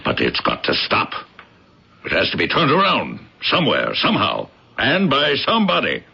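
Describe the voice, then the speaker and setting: Blustering, preachy boss in old radio drama; husky gravel, animated swings. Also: male voice, fairly low pitch, normal speed, heavily acted. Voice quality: gravelly, rough. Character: domineering, stingy factory boss, pompous and self-satisfied. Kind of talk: early 1980s radio drama acting. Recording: dull old radio broadcast.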